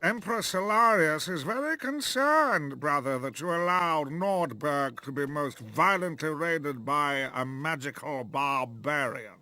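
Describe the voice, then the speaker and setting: An actor playing a haughty, scornful imperial envoy in a game cutscene, sneering and condescending. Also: male voice, a little high, lively intonation, measured pace, hardly any pauses, bright, forceful tone, heavily acted. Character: haughty scornful imperial brother, adult man. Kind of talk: video game cutscene acting. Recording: game audio with music behind.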